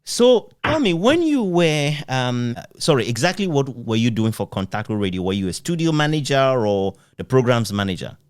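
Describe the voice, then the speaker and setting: A man interviewing in a conversational way, phrasing his questions in a measured, hesitant manner. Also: mid-range voice, big pitch swings, clear, resonant tone, dry recording, studio quality. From Ghana.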